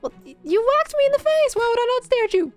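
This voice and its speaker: Aussie woman gaming, high voice, bit hoarse, bubbly chatter. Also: very animated, fast talker, faint music behind. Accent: Australian. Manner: chatty, bubbly, cooing over things. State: slightly hoarse. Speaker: Minecraft YouTuber. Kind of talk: casual let's-play commentary.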